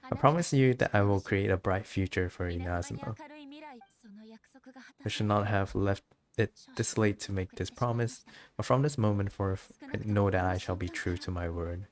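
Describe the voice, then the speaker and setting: A man's voice: on the low side, moderate pace, lightly acted. A man reading the part of a solemn, dutiful immortal female ruler in a game, formal and earnest. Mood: resolute.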